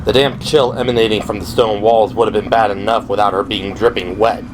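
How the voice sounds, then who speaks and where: A man's voice: lowish voice, fairly even intonation, fairly quick. A man reading scripted dramatic narration for an audio drama. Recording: clear background noise and ambience. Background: ambient sound effects.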